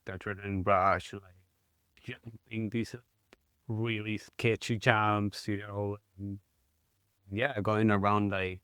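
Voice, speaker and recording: A man in his thirties with a Chilean accent and a smooth, low-pitched voice. He talks in a casual interview, halting, with many fillers and restarts. The sound is dry and close to the mic. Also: little pitch movement, fairly bright tone, no echo. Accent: Chilean Spanish. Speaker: guest, mountain bike guide.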